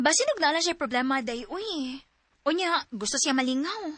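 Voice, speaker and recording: An actress playing a sensible, supportive young best friend who gives reassuring advice, with a Cebuano Filipino accent, in a radio drama. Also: female voice, sits high, animated, normal speed, a bit bright, faint music behind. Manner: reassuring, advising.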